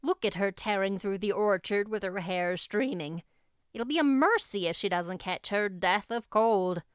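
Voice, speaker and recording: A no-nonsense older farm woman, played by a female narrator, clear and brisk. She is matter-of-fact and dryly scolding, yet caring, in storybook style. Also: mid-range voice, dry recording, clean studio sound, natural voice. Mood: exasperated. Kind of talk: audiobook character voice.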